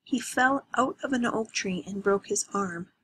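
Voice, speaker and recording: A woman voicing a gentle, wistful middle-aged mother who speaks softly and tenderly. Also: medium pitch, a little slow, a little hiss or hum, lightly acted.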